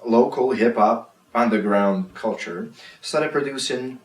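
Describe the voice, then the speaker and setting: A man in his thirties from Serbia, a guest DJ talking casually in an interview, halting with fillers and restarts. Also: mid-range voice. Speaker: guest DJ and producer. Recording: roomy, mic at a distance.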